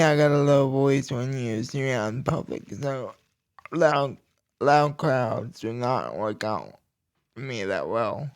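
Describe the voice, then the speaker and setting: Slow-talking young man with a gravelly voice. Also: medium pitch. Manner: slow, deliberate speech with pauses and restarts. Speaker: podcast host. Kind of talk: casual personal talk.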